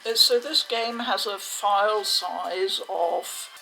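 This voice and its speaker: A woman giving a casual, chatty unboxing commentary in a measured, hesitant way, with a creaky voice. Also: medium pitch, sharp tone, rough recording. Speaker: video game unboxing host. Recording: faint background noise.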